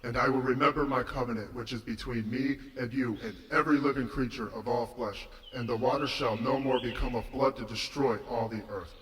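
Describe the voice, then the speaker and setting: A man's voice: medium pitch, steady tone, a little fast, few pauses, over a noisy crowd, voice pushed up, natural voice. A man voicing God as a solemn, almighty figure, declaiming in a dramatized scripture reading. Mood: solemn, majestic. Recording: echoing voice with long hall reverb.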